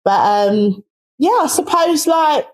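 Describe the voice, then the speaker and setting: An Essex woman hosts a relaxed podcast chat, her voice sitting a little high. She talks freely, laughs often and keeps drawing her guest out. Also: slow, poor audio. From Essex, England. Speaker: podcast host. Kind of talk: casual interview chat.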